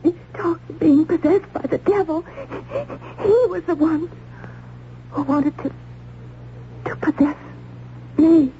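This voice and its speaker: An actress playing a terrified young condemned peasant maid in an old-time radio drama, halting and fervent. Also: female voice, very high voice, lively intonation, exaggerated voice. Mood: anguished. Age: young adult. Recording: tinny, noisy old radio recording.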